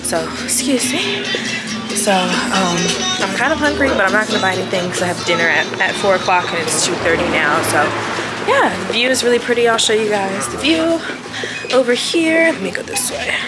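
A woman's voice: mid-range voice, lively intonation, moderate pace, over loud music. Chatty young woman vlogging on the go. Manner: chatty, rambling, thinks aloud with likes and ums. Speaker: travel vlogger. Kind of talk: casual solo travel vlog. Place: hotel room and outdoor restaurant. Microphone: handheld camera at arm's length.

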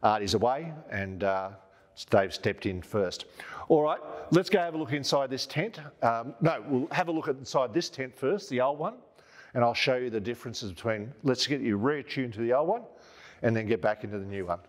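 A man's voice: medium pitch, expressive, moderate pace. A man in his fifties with an Australian accent, giving a casual, conversational product walkthrough as a presenter. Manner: informal, conversational, checking in with "right?". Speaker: presenter of outdoor gear product videos. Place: large hard-walled showroom, indoors. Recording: echoey showroom, long reverb.

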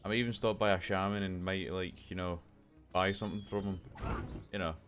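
A man's voice: low-pitched, steady tone, a little fast, fairly bright tone. A young man streaming a video game, chatting casually and mocking his own play with frequent laughs, recorded at home on a desk mic. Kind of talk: casual live commentary over gameplay. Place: home room. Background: game music.

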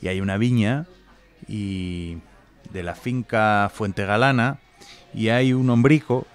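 A man's voice: fairly low pitch, takes it slowly, clear, resonant tone, faint music behind. A man hosting a casual podcast interview, reflective and chatty, often tagging his thoughts with little questions. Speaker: podcast host. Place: wine venue indoors.

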